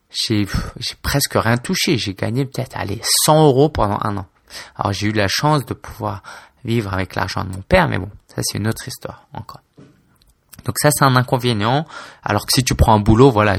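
A man's voice: mid-range voice, creaky, fairly bright tone, no room sound, studio quality. A man in his twenties from France, a blogger talking casually and informally to his listener, hesitant, with many fillers and restarts. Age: 20s. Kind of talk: casual solo talk to camera.

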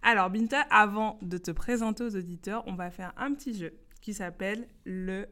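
A woman hosting a podcast interview, upbeat and playful, laughing often and firing off quick questions. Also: medium pitch, pushed and bright. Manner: quick-fire quiz questions. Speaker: podcast host interviewing a guest. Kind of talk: casual interview chat.

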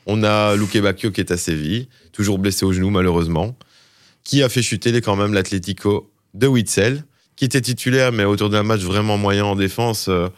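Homme belge à voix grave et sèche. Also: male voice, lowish voice, fairly quick, dry recording. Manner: enthusiastic, often adds "hein". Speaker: podcast co-host. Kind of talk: casual football chat.

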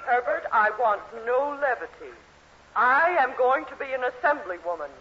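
An actress playing a domineering, self-important middle-aged wife in a 1940s radio sitcom, with a bossy, imperious manner. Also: female voice, high-pitched, sing-song, moderate pace, pushed and bright, heavily acted. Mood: stern. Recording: dull old 1940s radio recording, faint noise.

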